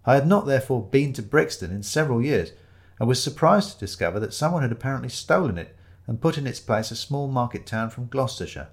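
A man's voice: medium pitch, expressive, quick pace. A man reading a scripted first-person story as narrator, with wry, dry humour. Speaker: audiobook narrator.

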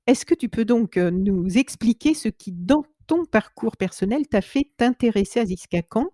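Woman interviewer with a dry, mellow voice. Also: a little high, lively intonation, normal speed, no echo. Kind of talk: interview questions, reading out a text.

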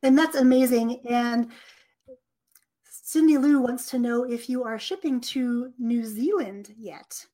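A woman hosts a relaxed interview and keeps things upbeat and friendly. Her voice sits somewhat high. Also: slow pace. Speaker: interview host. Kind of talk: casual interview chat.

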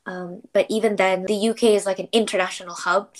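A woman's voice: mid-range voice, steady tone, fairly quick, rough recording. A young adult woman from Malaysia, a paralegal talking as a podcast guest in a chatty way, laughing often and filling with "like". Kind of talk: casual interview chat.

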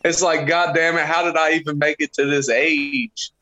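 A man's voice: on the high side, level tone, moderate pace, sharp tone, highs cut off. A man in his thirties, a podcast guest telling crude, joking stories with lots of swearing in casual chat. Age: 30s. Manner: profane, joking storyteller.

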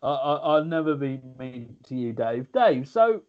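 A man's voice: mid-range voice, very animated, measured pace. A man co-hosting a casual comedy podcast, giggly and prone to stammering. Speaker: podcast co-host. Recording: dull lo-fi sound.

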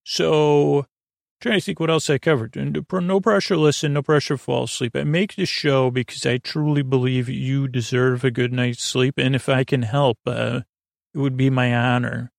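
Creaky-voiced man rambling gently as podcast host. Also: medium pitch, steady tone, dry recording, studio quality. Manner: meandering, halting, goofy, reassuring. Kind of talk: rambling, casual bedtime podcast talk.

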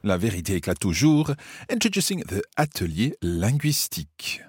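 A man hosting a podcast as a French teacher, explaining a course in a measured, explanatory way and slipping between English and French. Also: on the low side, sing-song. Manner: measured, explanatory, with some restarts.

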